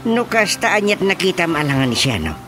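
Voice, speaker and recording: A Filipino man playing an indignant, scheming village husband in a radio comedy drama, grumbling in a drawn-out, animated way. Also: high voice. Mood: insistent. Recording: music bed under the dialogue.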